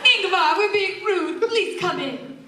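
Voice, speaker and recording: An actress playing a timid, polite immigrant wife in a comic radio play, speaking in eager broken English. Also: female voice, very high voice, animated, takes it slowly, exaggerated voice. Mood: timid, welcoming. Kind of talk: comic radio play performed live on stage. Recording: noisy echoey hall from far off.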